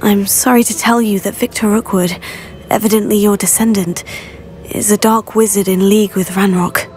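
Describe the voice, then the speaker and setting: A woman's voice: medium pitch, steady tone, normal speed, a little mellow, faint music behind, close to an everyday voice. An actress playing an earnest, brave teenage witch student in a video game, polite and determined. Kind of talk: video game character acting.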